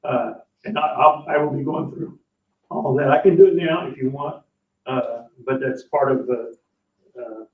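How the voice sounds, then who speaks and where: Distant, echoey man explaining finances haltingly. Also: mid-range voice. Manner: halting, plain-spoken, checks understanding with "okay?". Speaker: parks board official presenting the budget. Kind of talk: explaining finances at a public meeting. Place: indoor public board meeting room. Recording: echoey meeting room, distant dull mic.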